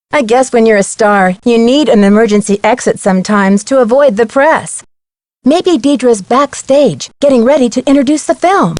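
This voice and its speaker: A woman playing a curious, plucky young amateur detective in an adventure game, chirpy and clearly spoken. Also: on the high side, no room sound, lightly acted. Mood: excited. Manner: inquisitive, chirpy, clearly articulated. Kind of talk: scripted adventure game dialogue.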